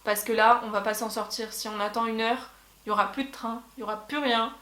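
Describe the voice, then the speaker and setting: A woman's voice: on the high side, fairly even intonation, quick pace, bright, forceful tone. A young woman vlogger telling a travel story in a casual chat, with many fillers and restarts. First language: French. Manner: chatty, lots of fillers and restarts. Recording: echoey room.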